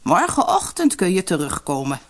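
A woman's voice: lowish voice, huge pitch swings, moderate pace. A woman voicing a stern, scornful old woman who guards a castle in a fairy tale, commanding and mocking.

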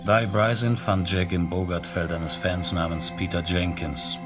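An actor playing a detached narrator of a science fiction radio play, telling it in a factual, report-like manner. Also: male voice, deep voice, steady tone, unhurried. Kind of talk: scripted narration, 1970s German radio drama. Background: electronic music.

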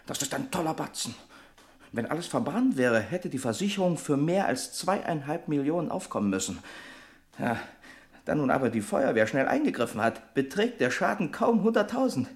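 A man's voice: on the high side, big pitch swings, normal speed. An actor playing a hearty, persuasive trucker friend in a German radio crime drama, jovial and teasing, drawing out his announcements. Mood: jovial, excited.

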